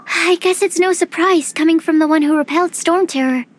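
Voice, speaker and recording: A woman playing a shy, polite, bookish young female alchemist in an animated game, speaking earnestly and hesitantly. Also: extremely high pitch, a little fast, a little hiss or hum.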